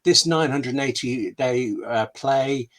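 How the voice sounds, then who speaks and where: A man with a mid-pitched voice talks as the guest in an online interview. He is candid and self-deprecating about his craft, landing his points in short, emphatic phrases. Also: unhurried, a bit bright. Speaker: scriptwriter, webinar guest. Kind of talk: conversational interview answers. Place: online webinar.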